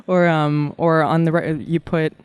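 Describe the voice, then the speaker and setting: A woman in her thirties from Kazakhstan, telling animated stories about her childhood as a guest in an interview. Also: low voice, unhurried, clean tone, no room sound. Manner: warm, animated storyteller with frequent laughs and fillers. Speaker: guest artist. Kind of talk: casual interview storytelling.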